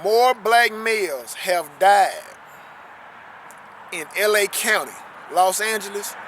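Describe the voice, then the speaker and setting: A man's voice: sits high, expressive, slow. A man reading a text aloud on a riverbank and commenting on it, earnestly repeating key phrases for emphasis. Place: outdoors on a riverbank. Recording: loud outdoor noise with passing traffic.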